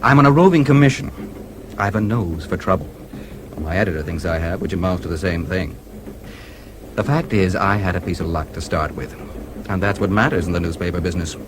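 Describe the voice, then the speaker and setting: A man's voice: low-pitched, a little fast, lightly acted. An actor playing a confident, genial American newspaperman in a radio drama, with an American accent. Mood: confident, amused. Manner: genial, breezy, self-assured. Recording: radio play with clear background noise.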